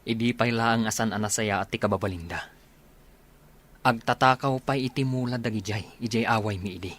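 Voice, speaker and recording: A man's voice: lowish voice, moderate pace, fairly bright tone, natural voice. A man playing a loyal young soldier buddy in an Ilocano radio drama, casual and brotherly. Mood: supportive.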